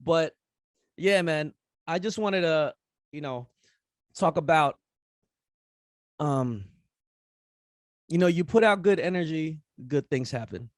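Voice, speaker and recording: A man's voice: fairly high pitch, slow pace, pauses often, no echo. Laid-back New man with a dry close sound. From United States. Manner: relaxed, rambling, many fillers and restarts. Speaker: rapper and event host speaking at a forum. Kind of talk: casual conference talk after a rap performance.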